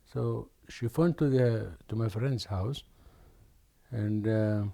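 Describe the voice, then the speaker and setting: An older man from Aden, Yemen, talks through his memories at an unhurried pace. His voice is low and warm, and he often pauses and restarts his sentences as he recalls what happened. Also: vocal fry. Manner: slow, reflective, with many restarts and pauses. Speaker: interviewee. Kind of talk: oral history interview.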